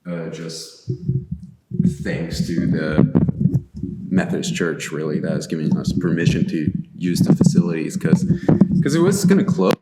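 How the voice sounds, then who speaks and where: A man's voice: very deep voice, expressive, unhurried, heavy background noise. A man from Chile chatting casually and hesitantly, with lots of fillers, in a large empty church sanctuary. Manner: hesitant, many fillers and restarts. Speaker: guest artist. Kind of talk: casual conversation. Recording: echoey large room.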